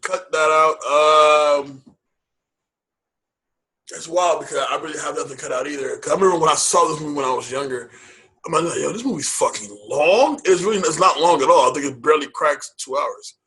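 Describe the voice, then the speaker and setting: A man's voice: on the high side, fairly quick, sharp tone, husky, low-quality recording. A man co-hosting a movie podcast in casual chat, loose and hype-style, repeating phrases and using slang. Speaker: podcast co-host.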